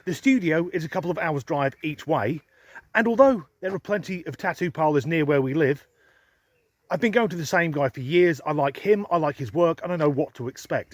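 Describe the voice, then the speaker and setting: A man's voice: a little high, expressive, a little fast, no room sound. An English man vlogging to a handheld camera, grumbling with dry, sweary humor. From England. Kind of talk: sardonic vlog monologue. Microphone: handheld camera filming himself.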